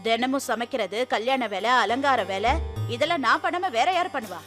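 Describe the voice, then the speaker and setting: An actress playing a cunning middle-aged mother who lies smoothly and makes threats. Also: on the high side, lively intonation, normal speed, fairly bright tone, faint music behind. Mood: sly. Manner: sly, threatening. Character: cunning older mother, sly schemer.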